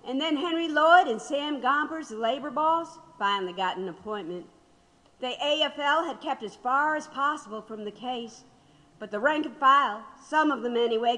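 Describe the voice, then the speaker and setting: A woman playing a condemned man's grieving wife, reflective and bitter, telling her story in an audio drama with measured regret. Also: a little high, pushed and bright. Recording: long hollow reverb.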